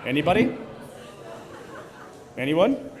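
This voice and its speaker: A man's voice: fairly high pitch, sing-song, takes it slowly, crowd noise. A man hosting a live stage event, bantering with the audience in a playful, teasing manner. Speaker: host of a live table read. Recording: live stage PA with clear background noise.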